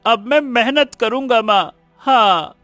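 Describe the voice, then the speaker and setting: An actor playing an earnest, humble and repentant village schoolboy in a cartoon moral story. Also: male voice, extremely high pitch, slow pace, a bit bright, dry recording, soft background music, heavily acted. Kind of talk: animated moral story dubbing.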